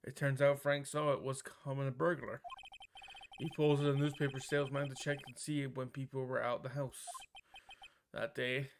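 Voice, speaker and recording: A man's voice: mid-range voice, flat delivery, normal speed, slight background noise. A man reading a visual novel's dialogue and narration aloud informally, now and then stumbling over the words. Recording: music under the voice. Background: game music.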